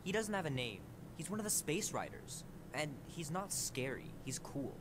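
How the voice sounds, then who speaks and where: Eager teen boy, lively pitch, slightly defensive. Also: moderate pace, noisy background. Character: cheerful thirteen-year-old boy, music-obsessed. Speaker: game character.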